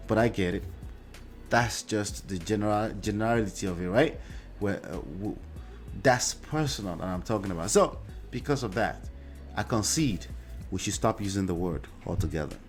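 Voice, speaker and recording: A fairly low-voiced man with a Nigerian accent, Yoruba-speaking by birth, hosting a casual livestream. He chats loosely, with plenty of "uh" and "right?" tags, and soft music plays faintly behind him. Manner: conversational, with frequent "uh" and tag questions like "right?". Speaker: show host. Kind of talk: livestream commentary reading viewer comments.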